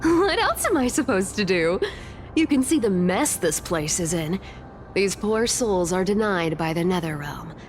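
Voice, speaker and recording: A woman voicing a sly, flippant thief in a video game, talking in a casual, teasing, expressive way. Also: mid-range voice, a little slow, a little hiss or hum. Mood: exasperated. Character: sly, flippant female trickster thief, defensive and sassy. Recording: background music under the voice.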